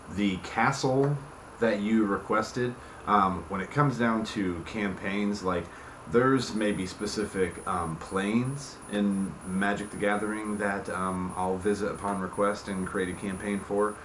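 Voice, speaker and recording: Man, deep voice, halting casual explainer, rambling delivery, reverberant room, background noise. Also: fairly bright tone. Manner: halting, rambling, often breaking off mid-thought. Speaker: tabletop game campaign creator hosting a video channel. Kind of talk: casual unscripted explainer to viewers.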